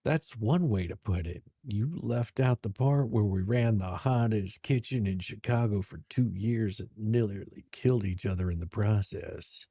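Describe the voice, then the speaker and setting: A man acts out a cocky male chef from a romance drama, low and gravelly. He drawls his flirtations slowly, arrogant and full of mischief. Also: warm tone, no echo, studio quality. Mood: amused, teasing. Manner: smooth, drawling, teasing.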